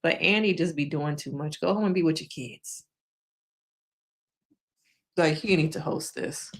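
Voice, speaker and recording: A woman's voice: low-pitched, a little slow. A woman hosting a casual live stream, chatting frankly with viewers, laughing often, and losing her voice.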